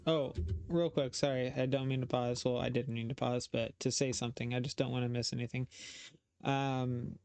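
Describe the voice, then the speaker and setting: A man's voice: mid-range voice, flat delivery, moderate pace, darkish tone, clean tone, faint music behind. A man giving casual reaction commentary as a host, often thinking aloud and hesitating.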